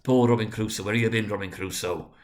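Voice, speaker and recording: A man voicing an excitable old miser who exclaims with childlike glee as he relives his boyhood in a storybook reading. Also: fairly low pitch, quick pace, lightly acted. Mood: excited delight. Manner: exclaiming, swinging between glee and pity.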